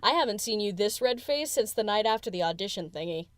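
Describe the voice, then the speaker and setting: A cocky DJ mare played by a woman, brash and always teasing. Her mid-pitched voice bounces around freely, casual and slangy, recorded close and dry for a cartoon pony audio drama. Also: lively intonation, quick pace, no echo, close to an everyday voice. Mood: gleeful. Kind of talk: fan audio drama character acting.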